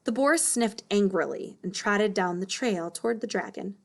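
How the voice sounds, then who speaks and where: A woman reads a children's book aloud as narrator. Her voice sits at a medium pitch for a woman, in a storytelling style meant for children. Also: normal speed, vocal fry. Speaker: storybook reader.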